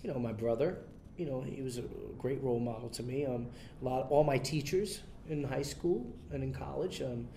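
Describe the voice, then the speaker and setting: A man being interviewed, talking in a relaxed way with many fillers and restarts. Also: mid-range voice, normal speed, a little hiss or hum. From United States. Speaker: interview guest, son of a famous bandleader. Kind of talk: casual interview.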